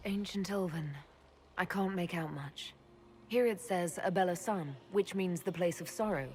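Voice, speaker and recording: A woman's voice: on the low side, normal speed, darkish tone, slight background noise, lightly acted. A woman playing a resolute, practical adventurer in a fantasy game, with a direct, plain-spoken delivery. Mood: focused. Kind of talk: dramatic fantasy game acting.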